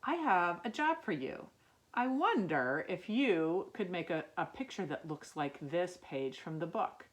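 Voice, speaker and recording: A woman reading a children's picture book aloud as a storyteller, expressive and musing, as if wondering aloud to young listeners. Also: lowish voice, measured pace. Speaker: youth ministry storyteller.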